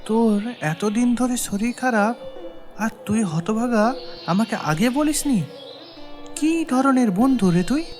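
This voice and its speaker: A man acts a good-hearted cartoon farmer, a trusting and loyal village friend. The delivery is earnest and expressive, with soft music underneath. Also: extremely high pitch, takes it slowly, pauses often, full character voice. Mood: concerned. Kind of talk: cartoon story acting.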